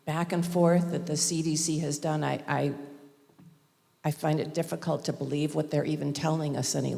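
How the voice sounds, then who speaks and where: Woman, public comment, hesitant with restarts, fry-laden low voice, podium microphone. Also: warm tone. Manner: halting, with many fillers and restarts. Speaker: parent speaking at public comment. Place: school board meeting hall. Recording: long reverb in a large hall.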